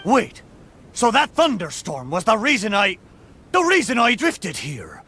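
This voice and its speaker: An actor voicing the boisterous, emotional spirit of an old ship in a video game, with theatrical speech. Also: male voice, high-pitched, huge pitch swings, a little slow, sharp tone, slightly rough, exaggerated voice. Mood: horrified, anguished. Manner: theatrical, exclamatory pirate talk. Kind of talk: dramatic video game voice acting.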